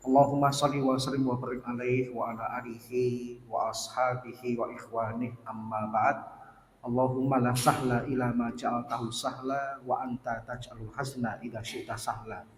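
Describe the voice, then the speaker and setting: A man preaching a study lecture in a mosque, speaking with a sore throat in a steady teaching tone and reciting Arabic prayers and sayings. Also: mid-range voice. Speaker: Islamic preacher. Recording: distant voice with long hall reverb and faint noise.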